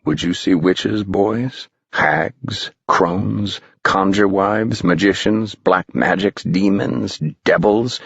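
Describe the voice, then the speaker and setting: A mischievous old male spirit swoops through a storybook night, put on by a man reading aloud. His voice is low and husky, his old-fashioned speech slow and gleeful. The recording sounds slightly muffled and dry. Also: a bit bright, no echo, exaggerated voice. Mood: gleeful, spooky.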